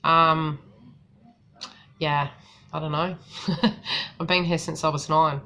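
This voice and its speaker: A woman with a New Zealand accent that has been softened by years in Australia chats casually as a psychic tarot reader. Her voice sits low, has a bright edge, and runs full of fillers and restarts. Faint noise hums underneath. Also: steady tone, slow. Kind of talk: casual live-stream chat.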